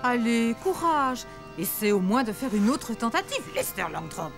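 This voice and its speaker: A woman's voice: a little high, big pitch swings, moderate pace. A woman voicing a playful elephant mother who talks like a pirate captain, theatrically, in a French children's cartoon. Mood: theatrical, teasing. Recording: music behind the voice.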